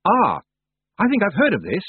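Man voicing an earnest, high-voiced boy hero. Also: huge pitch swings, moderate pace, breathy edge, dry recording, phone-quality sound, full character voice. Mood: firm. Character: determined, uneasy young boy hero.

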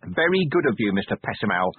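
A man's voice: fairly low pitch, sing-song, fast talker, husky, no room sound, exaggerated voice. A man voicing a weary, dry-witted male watch commander with a brusque, sardonic manner. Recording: thin phone-like dry recording.

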